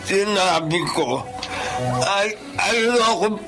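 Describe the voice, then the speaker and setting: Radio drama role: cranky, childish elderly Filipino man, creaky drawl, loud music behind. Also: sits high, drawn-out words, full character voice. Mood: petulant, stubborn. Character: stubborn, irritable, childish old father refusing food.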